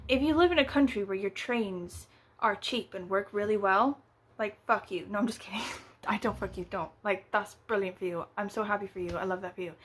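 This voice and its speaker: A woman's voice: medium pitch, a little fast, slightly rough. British woman vlogging about books at home, rambly, says like a lot, swears casually. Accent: British English. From United Kingdom. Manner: rambling, swears freely, lots of "like" fillers. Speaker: book vlogger. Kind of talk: casual chatty book review vlog. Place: at home, indoors.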